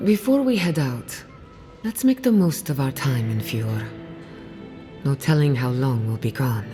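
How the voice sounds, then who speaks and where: Video game companion: confident female researcher, low register, dry wit, guarded, over music. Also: expressive, a little slow, warm tone. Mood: reflective, wry. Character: driven, wry female scholar, guarded about family loss. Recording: music playing behind the voice.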